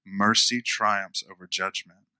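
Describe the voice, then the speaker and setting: A man preaching a conversational sermon, repeating phrases for emphasis, with a smooth radio-style voice. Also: lowish voice, steady tone, measured pace, sharp tone, no echo. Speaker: pastor.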